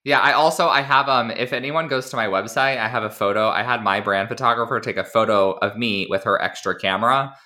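A man's voice: medium pitch, fairly quick, hardly any pauses, pushed and bright. A man guesting on a podcast over a call, chatting casually about his business with lots of fillers. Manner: chatty, informal, frequent "like" and "um". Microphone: remote call.

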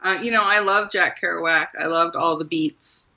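A woman's voice: fairly low pitch, steady tone, normal speed, bright, forceful tone, dull audio. A woman from Maryland, a guest author, talking casually in an interview, rambling a little and laughing often. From Maryland, USA.

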